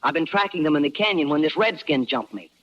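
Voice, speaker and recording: A man's voice: a little high, animated, fast talker. An actor playing a folksy, outwardly polite rancher's boy in a 1950s radio Western. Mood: aggrieved, polite. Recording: muffled vintage radio broadcast.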